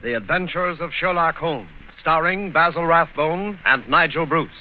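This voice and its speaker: Emphatic American radio announcer, highish male voice. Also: moderate pace, over music. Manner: emphatic, polished, formal. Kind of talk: 1940s radio announcing and sponsor read. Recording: muffled, hissy old 1940s radio recording.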